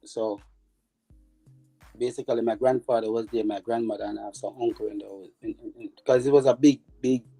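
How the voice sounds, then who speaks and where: A Jamaican former footballer answering interview questions, halting and repeating words as he recalls his childhood. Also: mid-range voice, fairly even intonation, gravelly, warm tone, husky, faint music behind, poor audio.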